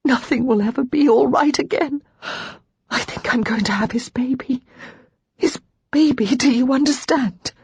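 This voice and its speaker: A woman narrator voicing Lara, a young widow who is ashamed, despairing and crying, in a husky voice that keeps dropping to a whisper. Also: mid-range voice, normal speed, a little mellow, dry recording, voice pushed up. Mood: despairing, tearful. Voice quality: husky, tearful, often whispering.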